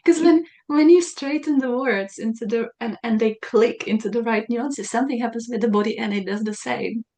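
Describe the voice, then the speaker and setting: A woman hosting a conversation, talking in an animated way and searching for the right words as she thinks aloud. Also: a little high, moderate pace. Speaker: podcast host. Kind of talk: casual conversation.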